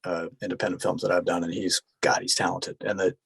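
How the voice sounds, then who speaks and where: A man's voice: low voice, little pitch movement, fast, gravelly, fairly bright tone, slightly rough, no room sound. A man speaking as a podcast guest in informal, appreciative, casual conversation. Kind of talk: casual chat.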